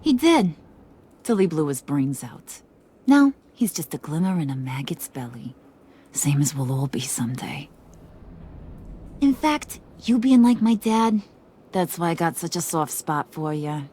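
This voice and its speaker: A woman's voice: sits low, very animated, a little slow, a little hiss or hum. An actress playing a cocky, volatile female gang boss in a video game, American, teasing and slangy, slipping from singsong to sinister. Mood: wistful, morbid. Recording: loud game music underneath.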